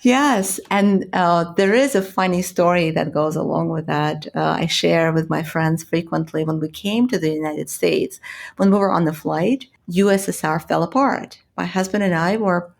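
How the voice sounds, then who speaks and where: Guest: middle-aged Ukrainian-born woman, low gravelly voice, candid storyteller. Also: normal speed. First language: Russian. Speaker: guest physician. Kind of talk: podcast interview.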